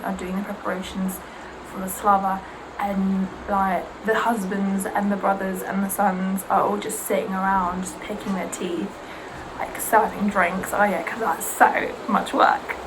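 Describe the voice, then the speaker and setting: A woman's voice: medium pitch, moderate pace, background noise. Sarcastic young woman chatting, Serbian native. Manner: animated, sarcastic, mimics aunties. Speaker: vlogger. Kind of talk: casual chat while getting ready.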